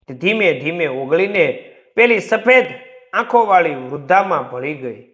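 A man narrating a story in a theatrical, deliberate, scripted style. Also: a little high, bright, forceful tone.

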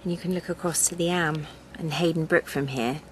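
A woman's voice: sits low, fairly even intonation, brisk, slight background noise, lightly acted. An actress playing an earnest, stubborn, spiritual woman with an English accent in a naturalistic radio drama. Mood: wistful, earnest. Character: earnest, wounded, stubborn spiritual woman fighting for her land.

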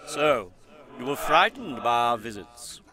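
A man's voice: mid-range voice, big pitch swings, a little slow, background noise. An actor playing a polite, probing male Christmas ghost in an audio drama.

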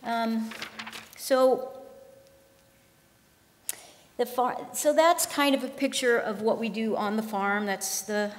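A woman's voice: fairly high pitch, fairly even intonation, slow, long pauses. A woman farm manager giving a conference keynote at a podium, speaking in a conversational way with frequent ums and restarts. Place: large lecture hall. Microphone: podium microphone. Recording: long hall reverb.

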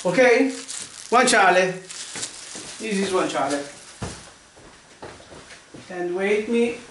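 A man in his thirties hosting a home cooking lesson, halting and searching for English words. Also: high voice, lively intonation, fairly bright tone. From Vietnam. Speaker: cooking channel host. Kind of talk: casual cooking demonstration. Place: home kitchen, indoors. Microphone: camera mic, often at arm's length or across the room. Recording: echoey kitchen with faint noise.

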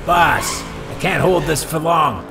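A man playing a huge, dim, put-upon mutant henchman in an audio drama, grumbling in a gravelly, husky voice. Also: on the high side, lively intonation, takes it slowly, over music, heavily acted. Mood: strained, unsure.

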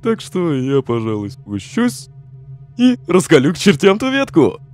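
A man's voice: a little high, huge pitch swings, unhurried, darkish tone. A man voicing a laid-back, weary skeleton big brother in a comic dub, joking, secretive and casual in his slangy talk. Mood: brooding. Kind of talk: comic dub voice acting with narration.

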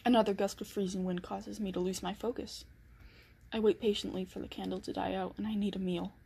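Woman playing a husky, secretive snowed-in killer. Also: medium pitch, level tone, a little fast, dark tone. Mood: brooding. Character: anxious, secretive 1912 killer snowed in at home. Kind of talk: dramatic first-person monologue.

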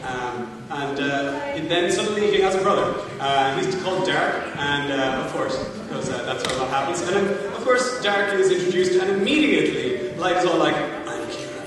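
A man's voice: a little high, lively intonation, moderate pace, few pauses, crowd noise. A young man giving a casual, rambling and amused story recap as a panelist. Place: large hall at a panel table, far camera. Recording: very echoey hall, distant microphone.

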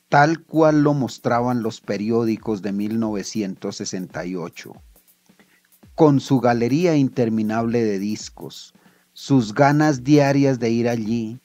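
A man's voice: mid-range voice, darkish tone, no echo. A man with a Colombian accent, a writer reading his own literary short story aloud.

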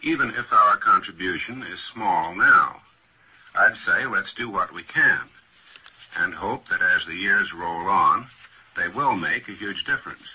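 American man, low pitch, sharp forceful tone, scholarly, measured, dull old radio sound. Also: expressive. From United States. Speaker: professor on a panel. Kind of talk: formal scholarly radio discussion. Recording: dull, noisy vintage radio recording.

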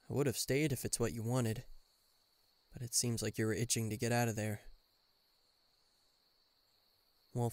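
A man's voice: medium pitch, no room sound, natural voice. A man playing a guarded government bodyguard in an intimate listener roleplay, dryly teasing and reluctantly tender. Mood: calm, protective. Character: gruff, guarded male government bodyguard, secretly fond.

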